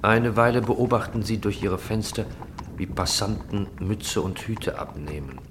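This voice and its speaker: Male narrator, low register, dramatic scripted radio-play delivery over clear background noise. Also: animated, measured pace.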